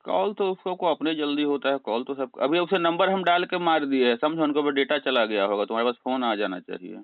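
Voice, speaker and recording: A man's voice: on the high side, a little fast, no room sound. Man, short replies, dry room, phone-like audio. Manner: brief, terse replies. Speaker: call recipient. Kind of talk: phone conversation and muttered aside. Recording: dry tinny phone-line recording.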